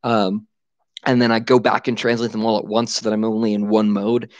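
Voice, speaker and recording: A man in his thirties answering viewer questions in a casual livestream from a small room at home, on a headset mic, animated and full of fillers and restarts. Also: fairly low pitch, brisk, no echo, dull audio. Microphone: headset boom mic.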